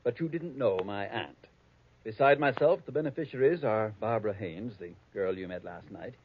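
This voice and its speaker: An actor playing a prim, conniving, avaricious heir, speaking formally and precisely in 1940s radio style. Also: male voice, lowish voice, expressive, normal speed, slightly rough. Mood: disdainful. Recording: thin, phone-like old radio recording.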